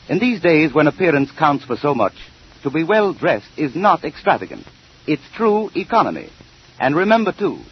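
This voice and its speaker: An American man announcing a sponsored 1930s radio program from a script, in a formal, emphatic salesman's delivery on an old radio recording. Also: fairly high pitch. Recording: muffled, noisy early 1930s radio recording.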